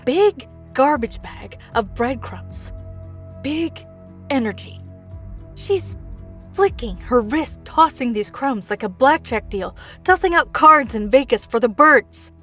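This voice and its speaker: A woman's voice: high voice, lively intonation, slow pace, long pauses, a bit bright, faint music behind, full character voice. A woman acting as a scared, bitter helpline caller in an audio drama, telling things in clipped, sardonic fragments. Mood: weary, deliberate.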